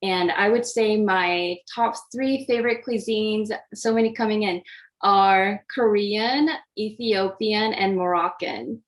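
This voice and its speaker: A woman's voice: mid-range voice, steady tone, slow. A woman from Japan, an oncology dietitian, giving a friendly, interactive online presentation over a video call, with many fillers and restarts. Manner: friendly, hesitant with frequent fillers.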